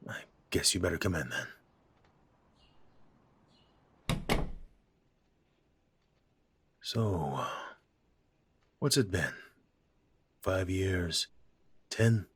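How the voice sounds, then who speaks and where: A man playing a blunt, weary aging father who sighs and speaks slowly. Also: deep voice, lively intonation, husky, full character voice. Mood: guarded. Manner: blunt, sighing, slow-spoken.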